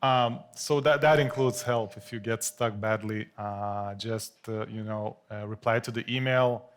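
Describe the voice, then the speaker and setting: A man on a live office panel, speaking haltingly, repeating words as he adds clarifications. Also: fairly low pitch, steady tone, a little hiss or hum. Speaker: engineer on recruitment panel. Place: office event space.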